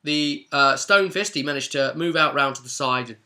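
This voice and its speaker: A man's voice: on the high side, moderate pace, pushed and bright. A man speaking as game master, giving a chatty, rambling, explanatory recap of a tabletop role-play session.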